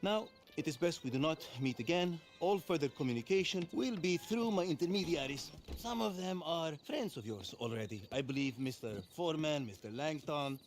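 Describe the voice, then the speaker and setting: Italian-accented male crime boss played by an actor for a game: measured, formal, commanding, very expressive intonation. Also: fairly high pitch, faint music behind, close to an everyday voice. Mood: smooth, calculating. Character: menacing, refined Italian crime boss, middle-aged man. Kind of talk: video game cutscene acting.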